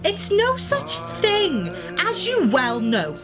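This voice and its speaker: Young woman playing a stroppy daughter in a radio drama, high lively voice, music under it. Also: slow pace, heavily acted. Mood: defensive. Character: defiant grown daughter, exasperated with her father. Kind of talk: radio play acting.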